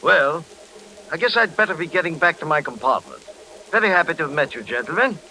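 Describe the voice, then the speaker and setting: An actor playing a nervous, unsociable newcomer from abroad in an old-time radio thriller. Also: male voice, on the high side, expressive, a little fast, sharp tone, full character voice, rough recording. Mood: nervous, evasive. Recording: hissy old radio recording.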